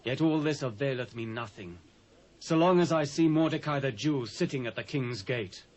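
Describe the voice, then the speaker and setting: An actor playing a boastful, resentful royal courtier with a British accent, pompous and emphatic in a scripture drama. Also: male voice, mid-range voice, normal speed, a little mellow, natural voice. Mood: proud, bitter.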